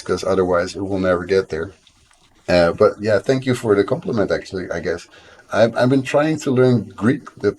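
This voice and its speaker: Low-voiced Dutch man streaming casually. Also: darkish tone. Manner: rambling, self-deprecating, frequent fillers and restarts. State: coughing now and then. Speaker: game developer streamer. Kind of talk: casual live-stream chat.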